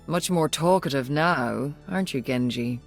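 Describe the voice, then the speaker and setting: A coolly superior woman of science from a video game. Her voice is very low with a creaky edge, measured and probing, over faint music. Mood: cool curiosity.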